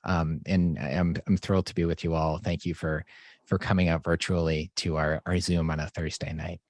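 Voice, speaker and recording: Deep voiced guy on zoom from his home office, chatty, dry close sound. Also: flat delivery, moderate pace, no echo. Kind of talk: video call panel introduction. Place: home room with a bookcase. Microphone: video call.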